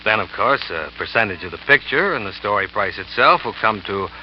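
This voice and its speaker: An actor playing a smooth-talking, scheming salesman who narrates his own story in 1940s radio drama style, boastful and quietly cold. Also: lowish voice, lively intonation, fairly quick, a little hiss or hum, close to an everyday voice. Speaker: narrator and lead character. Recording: dull old radio recording with music.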